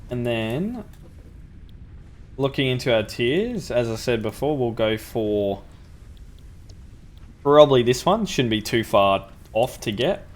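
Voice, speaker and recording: A man's voice: medium pitch, animated, slow, pauses often. A young man with a sore throat, casually talking through a factory-building game as the channel's host, thinking aloud with ums. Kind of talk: gameplay commentary.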